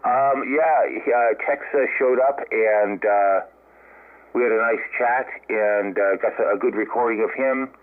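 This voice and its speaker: An American man working as an amateur radio operator, speaking haltingly in an unscripted exchange over a radio link. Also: medium pitch. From United States. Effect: radio transmission. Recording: narrow band-limited ham radio audio. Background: radio band sound.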